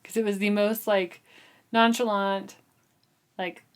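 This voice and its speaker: Female podcast co-host, mid-pitched, informal and giggly, loosely recapping a novel in easy conversation. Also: level tone, takes it slowly, clean tone. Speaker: book discussion podcast co-host. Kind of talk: casual chat, recapping a novel.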